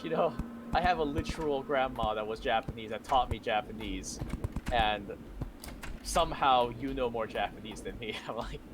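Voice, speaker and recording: A man's voice: mid-range voice, normal speed, background noise. A young man chatting casually while playing a game with a friend, telling long rambling stories and laughing often. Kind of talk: casual gaming chat. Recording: game music under voice. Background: game music.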